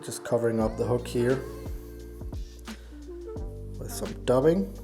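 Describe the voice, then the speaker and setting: A man with a Danish accent giving a casual hands-on tutorial, haltingly thinking aloud as he works. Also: medium pitch, music in the background. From Denmark. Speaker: fly tying instructor and YouTuber.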